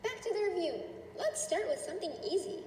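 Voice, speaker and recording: A woman's voice: very high-pitched, expressive, brisk, a little mellow. A woman voicing a cheerful but menacing cartoon schoolteacher, sugary and condescending as she addresses a class. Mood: sweetly strict. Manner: sugary, gushing, condescending with veiled threats. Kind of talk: character voice acting, addressing a class. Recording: roomy, distant, echoing with noise.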